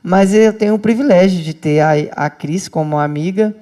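Mellow-voiced pastor chatting to his flock. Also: sits high, moderate pace. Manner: lively, chatty, addresses listeners as brothers and sisters. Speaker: pastor and host. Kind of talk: casual talk to a small church group. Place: indoor home church group meeting.

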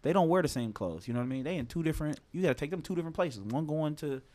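A man's voice: a little high, expressive, racing, a little mellow. A man in his twenties talking casually and candidly, swearing freely and often asking "you know what I mean?". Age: 20s. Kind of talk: casual chat.

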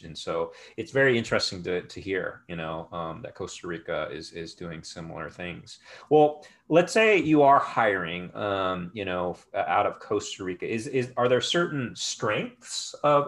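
A man's voice: deep voice, a bit bright. A man interviewing a guest, asking curious, rambling questions with many hedges.

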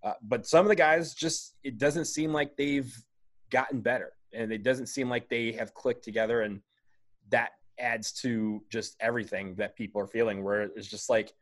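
A man's voice: medium pitch, fairly even intonation, moderate pace. A man talking as a podcast guest over a video call, earnest and rambling, often restarting his sentences. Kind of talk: casual chat.

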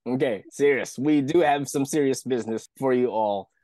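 A man with a slightly croaky voice, guest hosting a podcast in an upbeat, chatty way. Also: mid-range voice, moderate pace, dark tone, no room sound. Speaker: guest host, author. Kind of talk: casual podcast chat.

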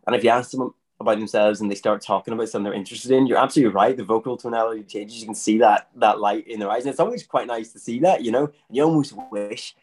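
A man, a magician talking in a relaxed, animated podcast chat and laughing often. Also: fairly low pitch, fast, hardly any pauses, husky.

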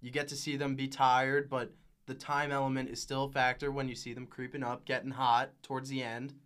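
A man's voice: mid-range voice, flat delivery, a little fast, fairly bright tone, clear, resonant tone. A young man giving emphatic, opinionated takes as a guest on a casual sports podcast.

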